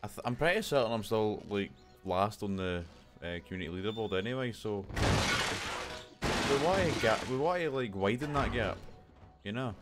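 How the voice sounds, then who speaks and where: A man's voice: on the low side, expressive, moderate pace. A young man streaming a video game, chatting casually and mocking his own play with frequent laughs, recorded at home on a desk mic. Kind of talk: casual live commentary over gameplay. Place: home room. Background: game music.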